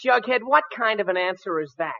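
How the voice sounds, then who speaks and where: Excitable lovesick teen boy, high, rapid-fire voice, old radio comedy, muffled narrow sound. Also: dry recording. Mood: earnest, hurried. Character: excitable, lovesick teenage boy, dramatic and impatient. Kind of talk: 1940s radio comedy acting. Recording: muffled, narrow-band 1940s radio broadcast.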